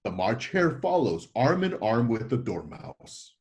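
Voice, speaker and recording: A man's voice: mid-range voice, big pitch swings, measured pace, darkish tone. A man narrating the scenes of a classic story as scripted stage directions.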